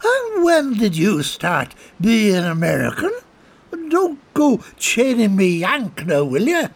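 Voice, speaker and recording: A tipsy rascal, as a man puts him on, squeaks and swoops way up high, drawling every word with boozy, cheeky charm. The voice sits a little back from the mic and sounds slightly husky. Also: huge pitch swings, takes it slowly, heavily acted. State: drunk. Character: drunk Irish petty crook, middle-aged man, cheeky and wheedling.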